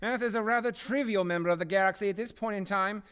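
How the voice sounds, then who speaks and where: A man's voice: high voice, fast talker, a bit bright, clean tone. An actor playing a polite, pompous artificial man and time machine in a comedy radio drama, formal and haughty, stammering when flustered. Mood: amused, condescending.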